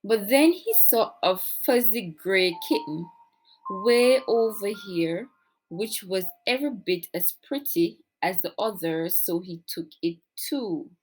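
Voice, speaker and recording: A woman reading a children's story aloud in an expressive, deliberate storytelling manner. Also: mid-range voice, soft background music, poor audio. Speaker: storybook reader, teacher.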